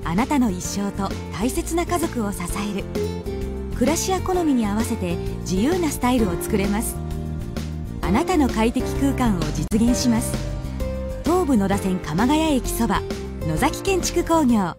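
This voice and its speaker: Lively, higher-voiced woman in radio advert. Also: unhurried. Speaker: advert announcer. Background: music.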